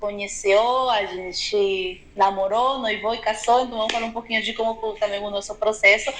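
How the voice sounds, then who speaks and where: A woman's voice: medium pitch, fairly even intonation, brisk, fairly bright tone, a little hiss or hum, poor audio. A woman from Venezuela with a Venezuelan accent, a native Spanish speaker, chatting casually and directly to her viewers as a video blogger. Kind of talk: casual chat to camera.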